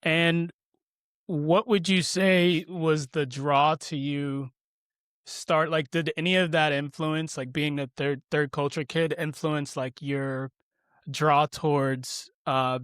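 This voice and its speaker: Smooth-voiced male podcast host. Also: fairly high pitch, steady tone, slow, a bit bright, no echo, clean studio sound. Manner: friendly, rambling questions with fillers. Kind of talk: casual interview hosting.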